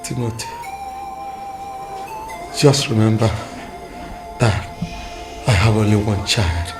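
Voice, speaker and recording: A low-voiced, slow-spoken father who sounds weary and resigned. He speaks with grave calm, and loud music plays beneath him. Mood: solemn. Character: older dying father, solemn and resigned.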